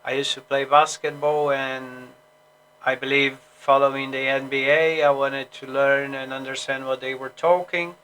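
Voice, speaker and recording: A man's voice: mid-range voice, level tone, moderate pace, sharp tone, a little hiss or hum, poor audio. A man from Brazil with a Brazilian Portuguese accent, an English teacher rambling casually on a solo stream with many fillers and restarts.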